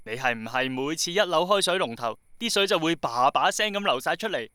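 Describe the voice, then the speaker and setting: A man's voice: on the high side, expressive, brisk, pushed and bright, clear, resonant tone, no room sound, studio quality. An actor playing an eager, earnest, insistent young man in a radio drama, with a Hong Kong accent. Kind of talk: Cantonese radio drama acting.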